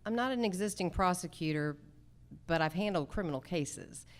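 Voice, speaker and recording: A woman's voice: fairly low pitch, expressive, normal speed. A woman candidate giving emphatic, punchy answers at a political forum.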